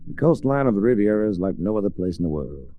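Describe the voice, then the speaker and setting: A male actor with an American accent playing a tough, smitten adventurer hero who narrates his own story in measured 1940s radio drama style. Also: fairly low pitch, big pitch swings, dark tone, soft background music. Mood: smitten, reflective. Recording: restored 1940s radio recording.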